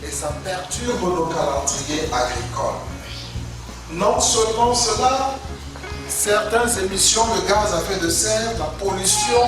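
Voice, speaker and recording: A man from North Cameroon gives an earnest formal speech as a government representative, often repeating phrases and turning to address the mayor. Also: high voice. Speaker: government representative at a launch ceremony. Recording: echoey hall, distant mic, music behind.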